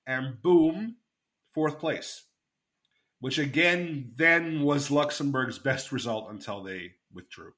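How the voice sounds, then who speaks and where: A man's voice: medium pitch, a bit bright. Guy hosting a podcast, chatty, lots of ums and likes, mid voice. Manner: chatty, enthusiastic storyteller with many fillers. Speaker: podcast host. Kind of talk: casual chat.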